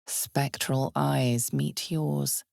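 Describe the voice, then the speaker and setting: A woman playing the knowing, ominous narrator of a dark fantasy video game, speaking in a measured, deliberate way with weighty pauses. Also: a bass voice, fairly even intonation, vocal fry, dry recording, clean studio sound, heavily acted. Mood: dark, dramatic. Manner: measured, deliberate, weighty pauses, sometimes dropping to near whisper. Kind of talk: dramatic scripted fantasy game narration in second person.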